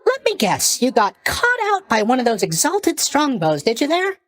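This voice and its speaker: A man's voice: extremely high pitch, wildly swooping pitch, normal speed, fairly bright tone, full character voice. A man playing a cheery, oblivious young god of sleep in a video game, chatty and blithely tactless in his mock sympathy. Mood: breezy teasing. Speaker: video game character voice actor. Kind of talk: video game character voice lines.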